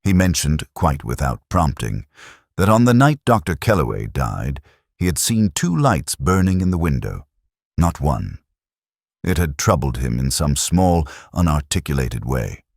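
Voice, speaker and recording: A man narrating a scripted first-person detective story in a measured, reflective, literary manner. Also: a bass voice, sing-song, gravelly, dry recording, studio quality.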